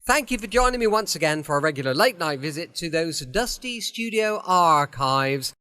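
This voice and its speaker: A man's voice: a little high, animated, moderate pace, pushed and bright, clean tone. A man hosting an old-time radio podcast from his home, chatting in a friendly, rambling way before the episode. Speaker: podcast host. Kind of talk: casual chatty podcast introduction.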